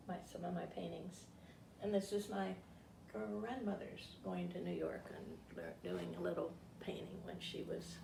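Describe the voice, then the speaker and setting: Creaky-voiced older woman, echoey room. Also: medium pitch. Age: 70s or older. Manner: halting, rambling, self-interrupting. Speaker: retired teacher and read-aloud presenter. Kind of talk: informal talk and reading aloud to a group. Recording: distant mic, echoey room, faint noise.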